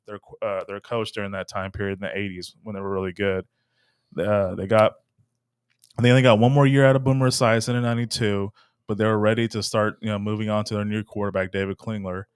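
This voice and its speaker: A man's voice: lowish voice, level tone, brisk, clear, resonant tone, no echo, studio quality. A man co-hosting a casual sports podcast, recapping facts in a plain manner. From Dallas. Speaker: podcast co-host.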